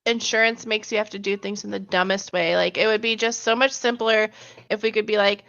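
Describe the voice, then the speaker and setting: A woman's voice: mid-range voice, level tone, a little fast, creaky, a bit bright. A woman in her thirties chatting casually and rambling, laughing often as she tells stories. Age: 30s. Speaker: stream host. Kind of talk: casual chat. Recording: muffled lo-fi sound.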